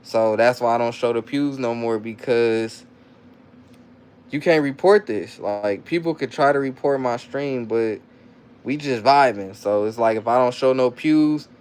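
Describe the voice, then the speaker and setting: A man's voice: medium pitch, measured pace, darkish tone, smooth. A young man talking loosely on a livestream, reading chat comments aloud and answering them in rambling slang with lots of restarts. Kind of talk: casual live-stream chat.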